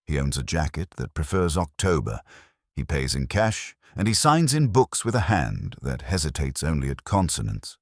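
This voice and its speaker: A man voicing a prim, shrewd older woman, genteel and dryly amused, with crisp, precise speech in a storybook reading. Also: extremely low pitch, very animated, normal speed, no room sound, studio quality, exaggerated voice. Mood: crisp, relishing.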